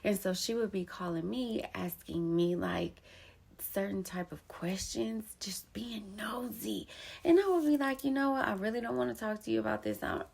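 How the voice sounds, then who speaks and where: A woman's voice: mid-range voice, very animated, moderate pace, vocal fry, a little mellow, slightly rough. A young woman telling her own story of betrayal in an emphatic, casual way with plenty of slang. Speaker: poet and storyteller. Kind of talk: candid personal storytelling.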